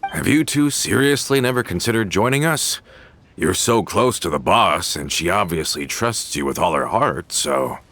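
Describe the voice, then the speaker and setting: Aging crew hand, deep and wistful. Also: male voice, expressive, normal speed, a bit bright, slight background noise. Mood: friendly. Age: older. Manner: wistful, easygoing. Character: aging male crew member, nostalgic and loyal. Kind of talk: video game voice acting.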